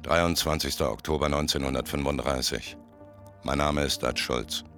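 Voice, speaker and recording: Male doomed mob boss, radio drama: extremely low, gravelly, grave monologue over faint music. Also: steady tone, moderate pace, sharp tone, exaggerated voice. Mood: grave, detached. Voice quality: very deep, gravelly. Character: dying gangster boss of thirty-three narrating his last hours.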